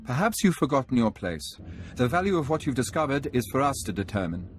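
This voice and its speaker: A man's voice: medium pitch, big pitch swings, fairly quick, soft background music, lightly acted. A man playing a haughty Sith envoy in a video game, speaking formally and imperiously. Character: haughty male Sith envoy of the dark Empire. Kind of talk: scripted video game dialogue.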